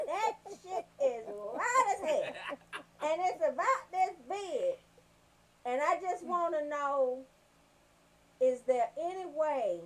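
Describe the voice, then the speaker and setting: High-pitched, animated woman. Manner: animated, brash, freely swearing. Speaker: radio show host. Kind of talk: comic storytelling on a talk radio show.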